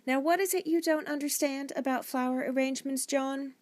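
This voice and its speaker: A woman's voice: sits high, fairly even intonation, brisk, a little mellow, no room sound, pitched above the performer's own voice, lightly acted. A woman voicing a patient, indulgent mother in a children's audiobook, composed and polite.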